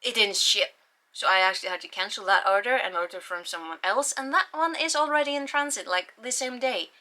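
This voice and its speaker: A woman's voice: mid-range voice, a little fast, bright, forceful tone. A young woman with an Icelandic accent, a native Icelandic speaker, chatting casually and rambling through her book haul with sighs and ums. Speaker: book vlogger.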